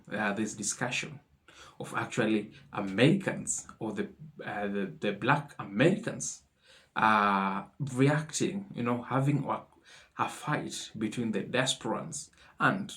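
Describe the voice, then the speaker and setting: A man hosting a commentary video, introducing clips in a halting way with frequent pauses. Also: lowish voice, fairly bright tone. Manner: halting, many uh fillers. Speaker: video host.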